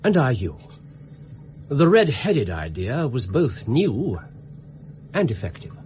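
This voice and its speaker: An actor playing a commanding, shrewd gentleman detective in a radio drama, crisp and precise in his speech. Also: medium pitch, huge pitch swings, slow pace, a little hiss or hum. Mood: composed.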